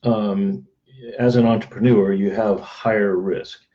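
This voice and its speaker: A man co-hosting a casual chat, relaxed and agreeable, often answering in short replies. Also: lowish voice, unhurried, lo-fi sound. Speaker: podcast co-host.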